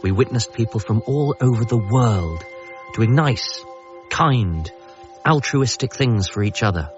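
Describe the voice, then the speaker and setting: A wry, earnest narrator played by a man tells his own story in a radio drama. He sounds conversational, at a middling pitch, slightly muffled with clear music behind. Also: animated, a little slow, lightly acted. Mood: wry, reflective.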